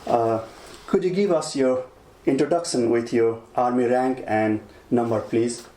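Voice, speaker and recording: In an echoey room with faint noise behind him, a man conducts a formal interview. His voice is mid-pitched and he puts his questions as if prepared. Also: a little slow, dark tone.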